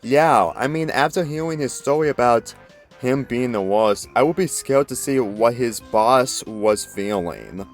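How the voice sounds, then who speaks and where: Male reader as nervous, kindly storybook pony mare, polite, raised pitch, faint music bed. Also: expressive, a little slow. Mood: scared. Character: timid, kindly young female pony.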